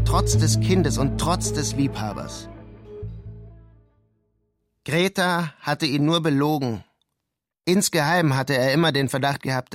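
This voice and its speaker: An actor playing a weary, introspective journalist in a literary radio play, narrating in a measured, subdued way. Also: male voice, a little high, no echo, studio quality, close to an everyday voice. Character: brooding, weary male journalist writing a painful letter. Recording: music behind the voice.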